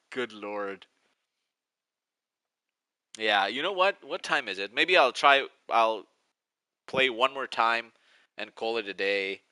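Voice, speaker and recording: A man's voice: mid-range voice, lively intonation, slow pace, pauses often, bright, forceful tone, dry recording. A man in his forties with a Greek accent, rambling and nostalgic as he chats casually on a live stream. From Greece. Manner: rambling, nostalgic, restarts often.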